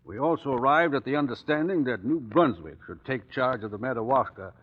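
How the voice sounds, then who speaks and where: An actor playing a hearty, loyal older army general in an old-time radio drama, with a husky voice and formal delivery. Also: male voice, mid-range voice, animated, fairly quick. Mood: hearty, earnest. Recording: dull vintage radio recording.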